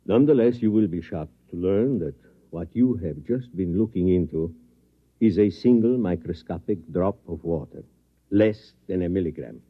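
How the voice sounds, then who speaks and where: A man acting in an old radio drama as a coolly confident scientist doctor, speaking in a formal, deliberate, courteous way. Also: low-pitched. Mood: measured, leading. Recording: old radio broadcast, dull band-limited sound.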